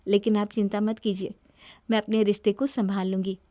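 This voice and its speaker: A woman voicing a gentle, devoted young daughter-in-law with self-respect in a cartoon family story. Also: mid-range voice, level tone, brisk, dry recording, natural voice. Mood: sweet, respectful. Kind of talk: Hindi cartoon moral-story voice acting.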